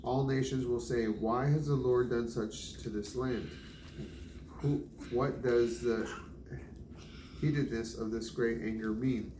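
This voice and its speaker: A man's voice: mid-range voice, fairly even intonation. Roomy, distant recording of man reading scripture. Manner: measured, deliberate, stumbles over some names. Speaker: Bible reader. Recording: roomy, distant mic, noisy background.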